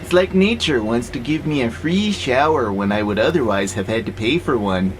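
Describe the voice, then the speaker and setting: A man's voice: medium pitch, lively intonation, normal speed, a little hiss or hum, natural voice, poor audio. A man acting in an audio drama as a wry pacifist warehouse robot, speaking in a dry, skeptical, laid-back way. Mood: wistful. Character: wry, easygoing pacifist male warehouse robot who loves nature.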